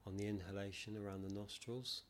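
A man leading a guided meditation as a teacher, calm and measured, leaving long pauses. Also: on the low side. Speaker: meditation teacher, podcast guest. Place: non-soundproofed room.

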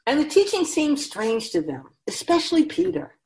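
A woman playing a wise, teasing, ageless female spirit guide in a radio drama, speaking in a measured, knowing way. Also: sits high, very animated, gravelly, a little mellow, heavily acted. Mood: earnest, instructive. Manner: measured, knowing, rhetorical questioning.

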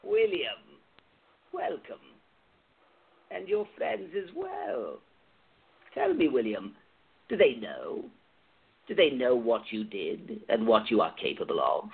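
A man's voice: mid-range voice, wildly swooping pitch, slow pace, vocal fry, pauses often, slightly rough, exaggerated voice. A man playing a menacing, cold club president who gives sneering commands, on a tinny phone-like line. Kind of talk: amateur radio play acting.

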